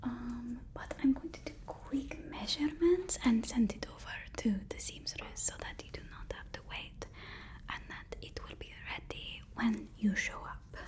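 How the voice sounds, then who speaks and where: A woman whispering an ASMR roleplay as a deferential, flattering personal assistant, often repeating her words softly and hesitantly. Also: high-pitched, moderate pace. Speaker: ASMR performer.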